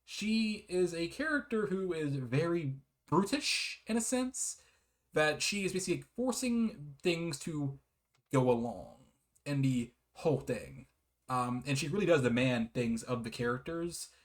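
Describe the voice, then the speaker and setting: A man's voice: a little high, animated, a little slow. A man giving a casual, rambling game review in a hesitant, apologetic manner with frequent giggles.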